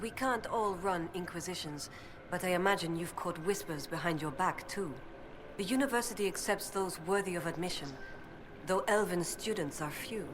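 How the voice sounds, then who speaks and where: Fantasy game role: actress as earnest elf research assistant, female, young, lower register, scholarly and precise, noisy backdrop. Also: moderate pace, lightly acted. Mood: earnest, guarded. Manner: precise, scholarly, thoughtful delivery. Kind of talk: video game voice acting.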